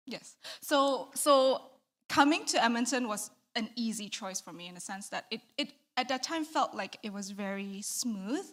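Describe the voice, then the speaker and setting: A woman in her thirties from Malaysia, giving a candid, soft-spoken testimony in an interview and laughing between thoughts. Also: a little high, animated, moderate pace. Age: 30s. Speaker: church member giving testimony.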